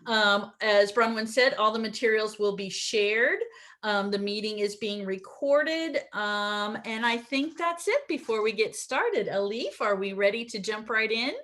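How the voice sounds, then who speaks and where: A woman's voice: medium pitch, lively intonation, a little slow, a bit bright. A middle-aged woman, a university teacher trainer, giving an upbeat online training session over a video call, with frequent ums.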